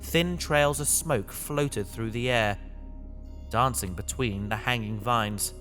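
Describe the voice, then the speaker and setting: A man playing a reflective space adventurer, narrating his own story in a measured, descriptive way for an audio drama. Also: medium pitch, fairly bright tone. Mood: hushed wonder. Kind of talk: scripted first-person audio drama narration. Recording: music behind the voice.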